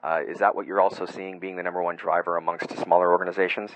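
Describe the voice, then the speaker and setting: A man's voice: low voice, level tone, fast talker, a bit bright, low-quality recording. A middle-aged man hosting a tech webcast, talking in a friendly conversational way and asking long framing questions with plenty of fillers. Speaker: webcast host.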